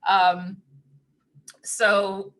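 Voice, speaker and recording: A woman with a lilt talks casually to her live-stream audience in a bright, clear tone. She rambles from thought to thought, laughs often and drops into hushed asides. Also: medium pitch, level tone, very slow, low-quality recording. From Trinidad. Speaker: spiritual teacher on a live stream.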